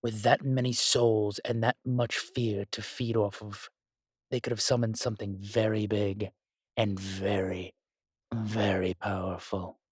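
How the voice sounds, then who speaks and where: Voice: medium pitch, little pitch movement, measured pace, husky, no room sound, clean studio sound. An actor playing an ancient, powerful spirit, sardonic, proud and condescending, in an audio roleplay drama.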